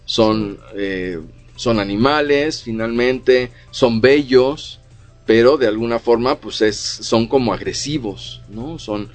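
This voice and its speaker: A man's voice: medium pitch, slow. Man, middle-aged, radio co-host, explains with lots of fillers, faint background music. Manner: explanatory, frequent fillers like "o sea" and "¿no?". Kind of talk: casual radio chat on psychology.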